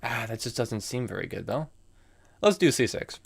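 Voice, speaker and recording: Young American man in his early twenties, mid-pitched, talking through chess moves on stream over keyboard clicks. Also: expressive, normal speed, gravelly, slightly rough. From United States. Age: young adult. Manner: thinking aloud, musing and reading chat. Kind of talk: live commentary while playing chess.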